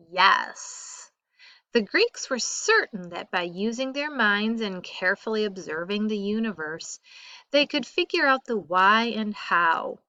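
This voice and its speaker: Slow, bright-voiced woman reading aloud. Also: medium pitch, no room sound. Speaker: teacher reading a textbook aloud. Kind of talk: read-aloud narration of a school textbook.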